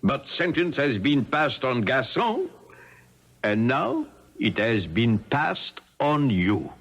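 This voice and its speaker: An actor playing a stern French village leader in a 1970s radio drama, speaking formally and solemnly. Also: male voice, medium pitch, very animated, slow, fairly bright tone, lo-fi sound, soft background music, full character voice. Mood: solemn, resolute. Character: stern French village leader presiding over wartime trial.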